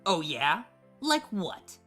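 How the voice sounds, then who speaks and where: A woman's voice: lowish voice, very animated, takes it slowly, fairly bright tone, soft background music, full character voice. A woman voicing a surly, cynical siren in a storybook reading, grumbling in a dismissive, needling way. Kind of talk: storybook fan fiction reading.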